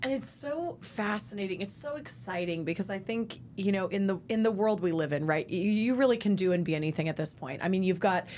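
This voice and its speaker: Female podcast host, 30s, low-ish voice, enthusiastic, desk mic. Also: animated, fairly quick, hardly any pauses, darkish tone, slight background noise. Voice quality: lower voice. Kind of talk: casual podcast interview. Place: purple-lit podcast studio. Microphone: desk mic on boom arm.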